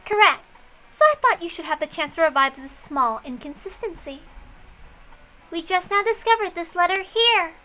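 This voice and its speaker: High female voice, smug young detective acted by a woman, taunting politeness, bright, visual novel character, music behind. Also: expressive, moderate pace, heavily acted, low-quality recording. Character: needling, polished young female detective rival.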